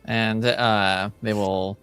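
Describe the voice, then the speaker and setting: A man improvising narration as game master of a tabletop roleplaying game, halting as he searches for words. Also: fairly low pitch, little pitch movement, a bit bright, clean tone, faint music behind.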